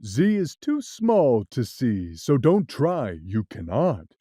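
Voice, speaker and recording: A woman voicing a sly, boastful cat magician in a read-aloud storybook, deep and showy. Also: wildly swooping pitch, takes it slowly, slightly rough, dry recording, studio quality, exaggerated voice. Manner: deep, loud, showy and sure of himself. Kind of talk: storybook reading.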